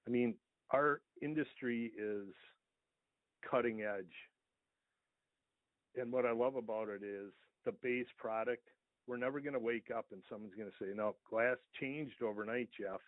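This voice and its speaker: A man's voice: medium pitch, unhurried, long pauses. An American man, a small business owner, earnestly explaining things at length in a conversational interview over the telephone. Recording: thin, echoey phone line.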